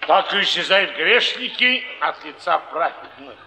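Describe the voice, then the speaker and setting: Gravelly, forceful cynical down-and-out. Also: male voice, a little high, expressive, unhurried, full character voice. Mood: sardonic. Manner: sardonic, commanding, rhetorical. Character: cynical, forceful down-and-out cardsharp philosopher. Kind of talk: theatrical stage acting. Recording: echoey theatre hall, distant, muffled.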